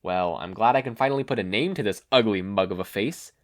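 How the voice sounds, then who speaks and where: A man's voice: fairly low pitch, a little fast, close to an everyday voice. A man voicing a brash, upbeat adult superheroine with a breezy, quippy manner in a fan fiction reading. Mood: confident, joking.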